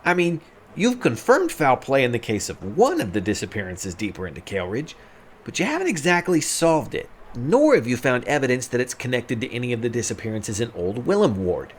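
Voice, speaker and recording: A man's voice: mid-range voice, wildly swooping pitch, brisk, breathy edge, voice pushed up. A man doing a fussy, inquisitive young fellow. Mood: curious, hesitant.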